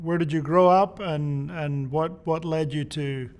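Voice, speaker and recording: A man hosts a relaxed interview, asking questions with friendly curiosity. His voice sits a little higher than most men's. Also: unhurried. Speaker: host. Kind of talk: casual interview chat.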